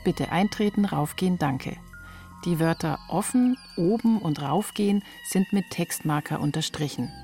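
A woman's voice: fairly low pitch, mellow. A woman reporter reading scripted narration for a radio feature.

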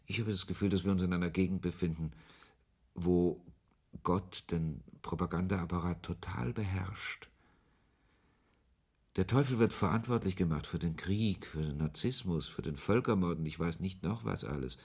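Brooding writer, low husky voice, literary radio drama narration, sardonic, reflective. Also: male voice, moderate pace, darkish tone. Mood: ironic musing. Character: brooding, ironic male author narrating his own story. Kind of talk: first-person radio play narration and dialogue.

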